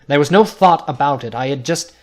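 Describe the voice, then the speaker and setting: A man's voice: fairly high pitch, a little fast, lightly acted. A man playing an old father in a storybook drama, earnest and insistent with his family. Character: old father, stubborn but soothing husband.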